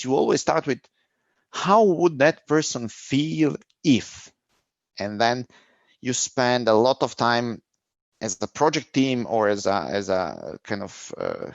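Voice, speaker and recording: Middle-aged man, interview guest, reflective and halting, dry muffled sound. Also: on the low side, dry recording. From Serbia. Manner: thoughtful, searching for words, frequent restarts. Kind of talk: conversational interview answers.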